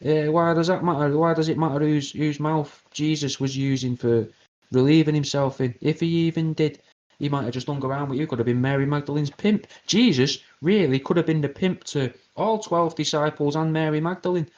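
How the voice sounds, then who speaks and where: A British man hosting an internet radio show, delivering a crude, rambling comedic conspiracy monologue in a deadpan way. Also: fairly high pitch, a little fast, lo-fi sound. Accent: British English.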